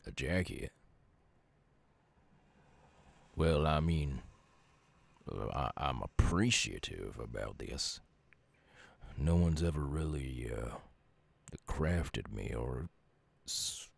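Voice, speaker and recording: A man playing a gruff, lonely but gentle werewolf with a Southern US accent in an ASMR roleplay, speaking softly and haltingly. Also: expressive, exaggerated voice. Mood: hesitant, touched. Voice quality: gravelly, very deep, husky.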